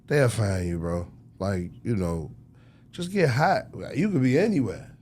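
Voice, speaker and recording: Low-voiced man talking casually over noise. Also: very animated, measured pace, a little mellow. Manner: informal, slangy, often checks the listener follows. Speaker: record label executive being interviewed. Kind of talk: casual interview talk. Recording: clearly noisy background ambience.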